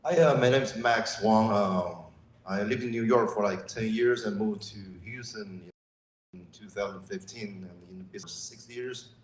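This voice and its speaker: A man's voice: lowish voice, normal speed. A man, a real estate agent, briefly introducing himself with a few hesitations. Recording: echoey room, faint noise.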